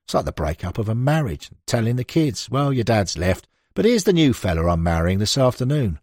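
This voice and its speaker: A man's voice: fairly low pitch, big pitch swings, fairly quick, a little mellow, no room sound, clean studio sound. A man reading the interview quotes of a frank football manager, with blunt, emphatic, conversational storytelling in an audiobook. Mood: rueful, exasperated. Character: frank, animated male football manager reminiscing. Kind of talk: acted interview quotations in an audiobook.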